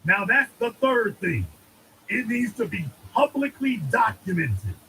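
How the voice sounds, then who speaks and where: A man's voice: high voice, sing-song, unhurried, bright, forceful tone, slight background noise, low-quality recording. A man giving an emphatic political monologue, preaching and repeating lines for effect. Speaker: commentator.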